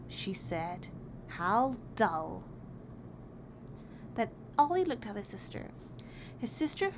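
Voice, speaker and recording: A woman reading a children's picture book aloud in an expressive, deliberate storytelling way. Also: on the high side. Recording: clearly noisy background.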